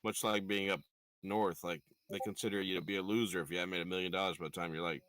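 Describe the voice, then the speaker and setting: A man's voice: deep voice, steady tone, quick pace, fairly bright tone, dry recording. A man in a casual group chat, dropping offhand, opinionated remarks.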